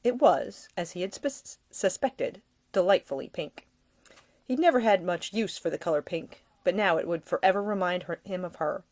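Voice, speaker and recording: A woman reading a novel aloud as its author, in expressive scripted narration. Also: on the low side, normal speed. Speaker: author reading own audiobook.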